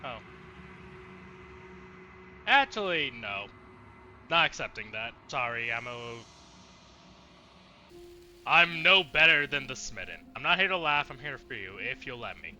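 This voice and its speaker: A man's voice: medium pitch, sing-song, unhurried, long pauses, sharp tone, a little hiss or hum. A man doing casual let's play commentary, reacting with muttered asides and swearing, and reading dialogue options aloud.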